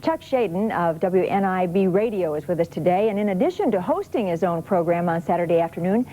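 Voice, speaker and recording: Mellow-voiced woman hosting daytime talk. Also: fairly low pitch, lively intonation, a little fast. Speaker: talk show host. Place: television studio. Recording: slightly noisy old TV tape.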